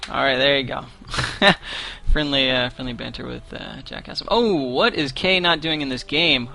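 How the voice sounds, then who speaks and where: A man in his twenties with a fairly high voice, casting a live game tournament in chatty, joking banter. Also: moderate pace, creaky, pushed and bright, soft background music. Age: 20s. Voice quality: higher voice. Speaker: esports caster.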